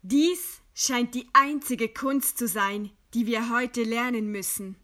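Bright-voiced, stern probing lady. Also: fairly high pitch, slow pace. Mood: cool, challenging. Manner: curt, demanding. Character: stern, probing lady questioning an executioner, contemptuous. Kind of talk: radio play dialogue.

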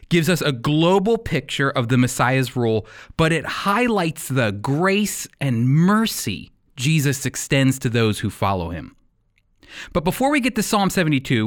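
A man hosting and teaching a Bible radio program in an explanatory, emphatic way, working from a script. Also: fairly high pitch.

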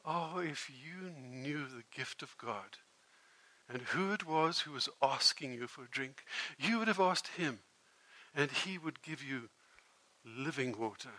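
A man voicing a calm, gentle teacher. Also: medium pitch, a little hiss or hum, rough recording. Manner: soft, measured and unhurried.

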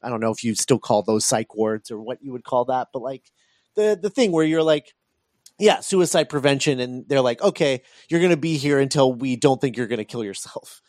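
A man co-hosting a film podcast in casual chat, thinking aloud in a halting way. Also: medium pitch, a little mellow, no echo, studio quality. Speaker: podcast co-host.

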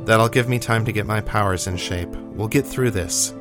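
An earnest young male student voiced by a male narrator, determined yet tender. His low-pitched lines come with a pleading, reassuring sincerity, over music in an audio story. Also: moderate pace, very echoey, in a large hall, lightly acted. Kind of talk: audiobook character dialogue.

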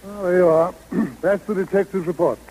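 A male actor with an American accent playing a brisk, businesslike senior lawyer in a 1930s radio drama. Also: high-pitched, mellow, husky, rough recording. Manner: businesslike, authoritative. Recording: vintage 1930s radio broadcast.

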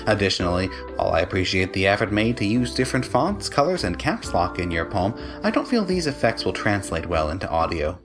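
A man with a lowish voice reads a formal letter briskly and deadpan. He sounds like an officious editor, with soft music underneath. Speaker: managing editor. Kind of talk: scripted reading of a rejection letter.

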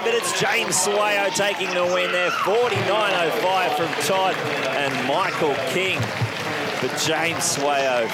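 A man calling a track race live, his voice rising to excited shouts. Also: high-pitched, very noisy. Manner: builds to shouting excitement at the finish. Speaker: athletics race commentator. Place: athletics stadium. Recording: loud stadium crowd behind.

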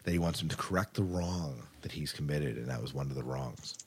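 Deep-voiced American man hosting a podcast. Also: a little fast, a little mellow, breathy edge. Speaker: podcast host. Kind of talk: podcast news segment, partly read, partly casual chat.

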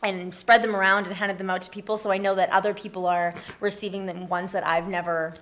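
A woman with a mid-range voice talks as a guest in a loose group conversation. She is chatty and informal, with a playful, animated delivery that sounds like friends swapping stories. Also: medium pitch, fast talker. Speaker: guest on a talk show. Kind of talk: casual, animated group chat.

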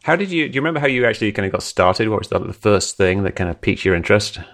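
A man's voice: lowish voice, lively intonation, rapid-fire, a bit bright. A man hosting a podcast in a conversational interview style. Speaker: podcast host.

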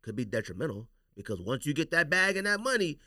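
A man's voice: fairly high pitch, sing-song, fast talker, sharp tone, dry recording, studio quality. An American man hosting a casual sports podcast, giving emphatic, opinionated takes and repeating his points for emphasis.

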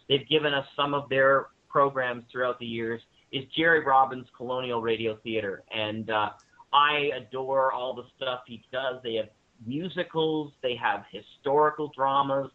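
A man speaking as a guest in a panel interview, talking enthusiastically and emphatically about what he admires. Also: medium pitch. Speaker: guest, radio drama maker. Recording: thin phone-like line.

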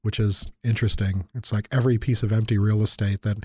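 A man's voice: fairly low pitch, fairly even intonation, quick pace, darkish tone, breathy edge, no echo, studio quality. A man co-hosting a casual chat, talking in a reflective, thoughtful way. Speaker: university lecturer and podcast co-host.

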